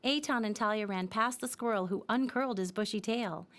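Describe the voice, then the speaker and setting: A woman narrating a scripted children's story in a simple, expressive storytelling manner. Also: medium pitch, brisk.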